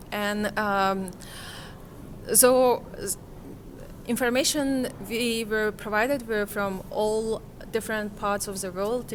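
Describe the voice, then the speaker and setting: A woman speaks slowly in an interview. She is reflective and hesitant, pausing to find her words. A faint noise of the room sits behind her. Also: mid-range voice. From Kazakhstan, Central Asia. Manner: hesitant, halting, searching for words. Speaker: interviewee, conference participant.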